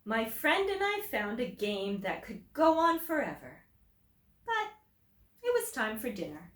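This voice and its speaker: A woman teacher reading a picture book aloud to children, explaining simply and deliberately and putting questions to her listeners. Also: fairly high pitch, wildly swooping pitch, a bit bright. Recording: roomy echo, distant microphone.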